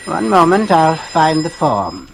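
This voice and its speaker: An actor playing a greedy charlatan doctor in an old-time radio suspense drama, hesitant and insinuating. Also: male voice, on the high side, very animated, slow, vocal fry, a little hiss or hum, full character voice, poor audio. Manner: hesitant, insinuating, mock-reluctant.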